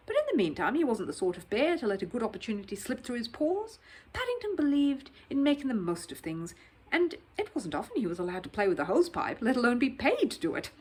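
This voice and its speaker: A woman narrating a children's story in an expressive, scripted read-aloud style. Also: fairly high pitch, breathy edge.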